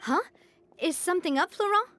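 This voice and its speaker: A woman's voice: very high voice, animated, fairly quick, a bit bright, faint music behind. A woman voicing a kind, resolute young female boss in a video game, earnest and used to taking charge. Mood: alarmed, serious. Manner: caring, earnest, take-charge. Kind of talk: English video game voice acting.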